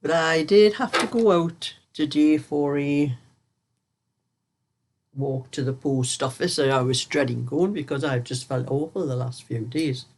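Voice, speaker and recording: Older woman, vlog host, croaky with a cold, rambling and chatty. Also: deep voice, a little slow, a little mellow. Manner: rambling, chatty storyteller who laughs often. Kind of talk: casual chat while knitting.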